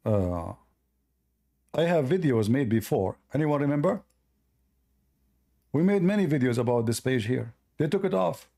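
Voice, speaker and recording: A man whose first language is Arabic, hosting a live call-in debate and arguing forcefully while reading from sources. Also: mid-range voice, lively intonation, moderate pace, vocal fry, long pauses. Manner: forceful, argumentative, repeats himself. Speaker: host of a call-in debate stream.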